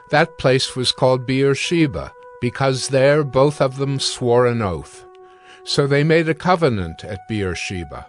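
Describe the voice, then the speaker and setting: Male scripture narrator over music. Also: mid-range voice. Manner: formal, measured. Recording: background music under the voice.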